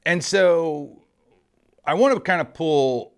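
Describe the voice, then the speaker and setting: A man's voice: on the high side, slow pace, clear, resonant tone. A man in his early forties hosting a casual interview, rambling and correcting himself, laughing easily. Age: 40s. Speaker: host.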